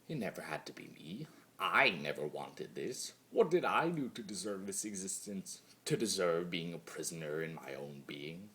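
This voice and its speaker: A man's voice: low voice, a bit bright. A man voicing a bitter, mocking male spirit of chaos in a theatrical, brooding, sardonic dramatic reading of fan fiction.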